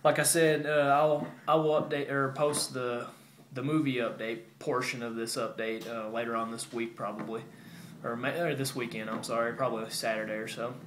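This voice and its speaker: A man talks casually to his viewers in a roomy, echoing space with faint noise behind him. His delivery is laid-back and rambling, with a halting flow and a mid-range pitch, like a collector showing off his shelf at home. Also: little pitch movement. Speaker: video game collector vlogger. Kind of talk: casual show-and-tell update to viewers. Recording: roomy echo with background hiss.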